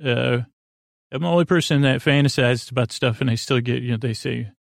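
Creaky, meandering sleep-podcast host man. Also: brisk, no echo, studio quality. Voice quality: creaky, low-key drawl. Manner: meandering, full of ums and self-corrections. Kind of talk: rambling soothing monologue.

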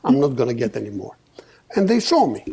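A man in his fifties from Greece, a podcast guest telling his story with many pauses and restarts. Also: medium pitch, sing-song, vocal fry, mellow, slightly rough. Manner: hesitant, many uhs and restarts. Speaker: podcast guest, company founder. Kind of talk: casual storytelling interview.

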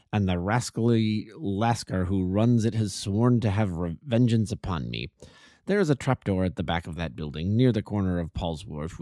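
A man reading a story aloud, voicing a calm, masterful detective who speaks in a precise, definite way. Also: on the low side, no echo, studio quality, natural voice.

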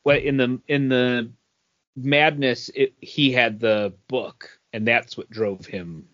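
Male podcast co-host, casual, halting delivery, dull lo-fi sound. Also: mid-range voice, fairly even intonation, fairly bright tone. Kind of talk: casual chat. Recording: dull lo-fi audio.

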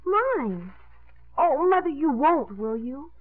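Lovestruck teenage daughter, high voice, breathless gush, vintage radio comedy, phone-like band. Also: female voice, very animated, slow, heavily acted. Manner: breathless, earnest, gushing. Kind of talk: 1950s radio comedy-drama acting. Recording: muffled narrow-band 1950s radio recording.

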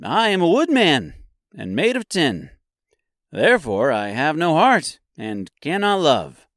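Storybook tin man, done by a male narrator: polite, hopeful, raised pitch, earnest pleading. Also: wildly swooping pitch, a little slow, fairly bright tone, dry recording, clean studio sound, heavily acted.

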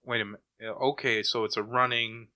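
A man's voice: mid-range voice, little pitch movement, quick pace, pushed and bright, no echo. A man co-hosting a podcast in a casual, chatty style. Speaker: podcast co-host. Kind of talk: casual chat.

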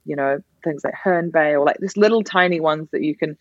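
A woman's voice: sits low, a little fast, dry recording, dull audio. A young woman with a New Zealand accent, a comedian chatting as a guest, laughing often and restarting her sentences. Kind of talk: casual podcast interview.

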